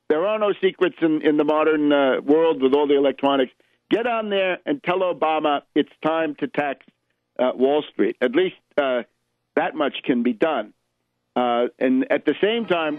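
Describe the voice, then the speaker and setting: A man's voice: high voice, no echo. An American man hosting political radio, delivering an emphatic, oratorical and urgent opinionated monologue. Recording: tinny band-limited radio line, dry.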